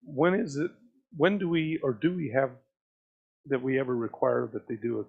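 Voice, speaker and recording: Male commissioner, creaky gravelly voice of average pitch, muffled meeting recording. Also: animated, normal speed. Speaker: planning commissioner. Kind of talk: questioning staff at a public meeting. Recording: dull lo-fi meeting audio.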